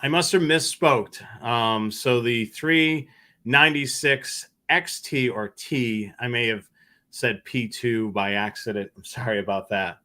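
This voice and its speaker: Male live show host, bright crisp-edged delivery, conversational and slightly halting. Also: mid-range voice. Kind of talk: casual live stream talk, answering viewer questions.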